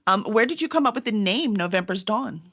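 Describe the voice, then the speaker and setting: Mid-pitched woman, informal host. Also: animated, fairly quick, fairly bright tone. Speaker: publisher hosting the event. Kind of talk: casual hosting and interviewing, with read-aloud book copy.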